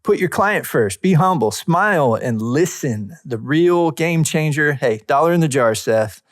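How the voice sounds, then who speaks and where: A man's voice: on the high side, animated, a little slow, dry recording. Informal male podcast co-host, dry sound. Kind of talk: casual podcast chat, reading listener messages aloud with short comments.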